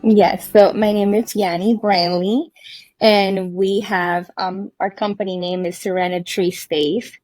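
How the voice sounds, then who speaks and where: A woman's voice: medium pitch, level tone, measured pace, a little mellow, no echo, faint music behind, low-quality recording. A woman from Cuba, a cabin rental owner, talking casually as a guest, laughing often and restarting her sentences. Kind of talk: casual conversational interview. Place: inside a cabin under renovation.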